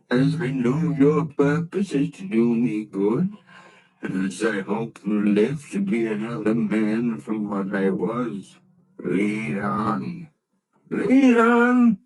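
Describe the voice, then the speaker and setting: Scared old miser, shaky pleading voice, whispers a lot, slow, old radio play. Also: male voice, lowish voice, darkish tone, breathy edge, heavily acted, poor audio. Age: older. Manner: trembling, pleading, often whispered. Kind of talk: 1940s radio acting.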